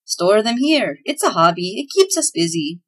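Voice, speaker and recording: A woman's voice: mid-range voice, big pitch swings, normal speed. A woman voicing a proud, jealous little male elf guide in a storybook reading. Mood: boastful.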